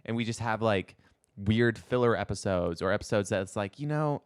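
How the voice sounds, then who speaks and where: A man's voice: lowish voice, expressive, moderate pace, clear, resonant tone. A man co-hosting a podcast in casual chat, rambling and slangy, leaning often on "like". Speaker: podcast co-host.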